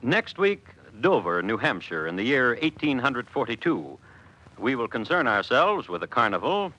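An actor playing a deadpan, formal crime historian, narrating a 1950s radio drama in a deliberate, measured way. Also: male voice, mid-range voice, exaggerated voice, poor audio. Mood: dry, formal. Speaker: host and storyteller.

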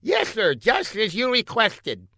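Meek male scientist lackey for a comic audiobook, performed by the narrator: high, hesitant, obsequious, close and dry. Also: huge pitch swings, slow, gravelly, sharp tone, no room sound, heavily acted. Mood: nervous, apologetic.

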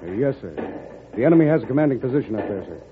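An actor as a quick, nervous army captain. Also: male voice, mid-range voice, very animated, phone-quality sound, lightly acted. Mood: dutiful. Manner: deferential, hesitant military formality. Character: eager, respectful, slightly nervous army captain. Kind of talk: vintage radio drama acting. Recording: thin, noisy old radio broadcast.

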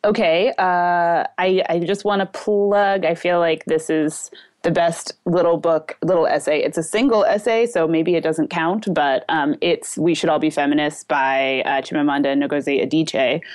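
Young woman, very low voice, dry close sound, chatty and enthusiastic, casual book chat. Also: steady tone, normal speed, a little mellow, no room sound. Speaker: book recommender.

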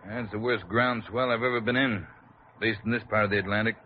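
An actor playing a salty, plain-spoken ship's captain in an old radio drama. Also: male voice, fairly low pitch, steady tone, fast, lightly acted. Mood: friendly. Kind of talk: 1940s radio drama acting. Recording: muffled, hissy old radio recording.

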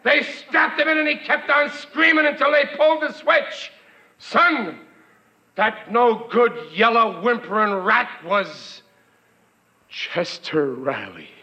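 A man's voice: extremely high pitch, animated, slow, pushed and bright, full character voice. An actor playing a dim, blustering working-class family man in a 1940s radio comedy, slangy and melodramatic. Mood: worked-up, scornful. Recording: dull, lo-fi old radio recording.

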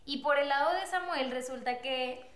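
A young woman telling a personal story in casual chat, animated and quick to laugh. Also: high-pitched, echoey room. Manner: animated, laughs often, acts out quotes. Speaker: guest telling their love story.

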